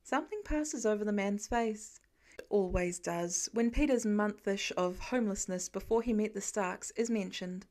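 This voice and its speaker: A woman's voice: medium pitch, normal speed, a little mellow. A woman reading fiction aloud as an amateur audiobook narrator, in scripted storytelling style.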